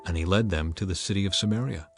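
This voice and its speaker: A man's voice: low-pitched, fast. Earnest male scripture narrator. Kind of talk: dramatized scripture reading. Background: faint background music.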